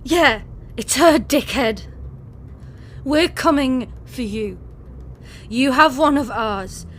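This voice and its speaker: Casting: actress as hardened young survivor woman, audio drama, high voice, unhurried, sardonic and defiant. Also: a bit bright, slight background noise. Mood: cold defiance. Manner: blunt, sardonic. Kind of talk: post-apocalyptic audio drama acting. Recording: light music under the voice.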